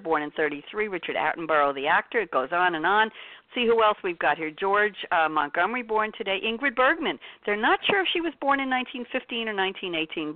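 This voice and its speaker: A woman's voice: deep voice, no echo. A woman hosting a radio talk show from a New York studio, chatting with a caller in a bubbly way and laughing easily. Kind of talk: casual radio interview. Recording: phone-quality radio audio.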